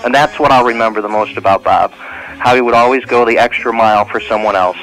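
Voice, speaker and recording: A man's voice: mid-range voice, steady tone, fairly quick, fairly bright tone, rough recording. A man giving a spoken personal reminiscence in a plain, conversational way. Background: faint music.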